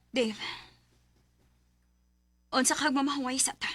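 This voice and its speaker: A young Cebuano Filipino wife in a radio drama. Her voice is high and bright, emotional and strained by stress. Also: exaggerated voice. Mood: weary, firm.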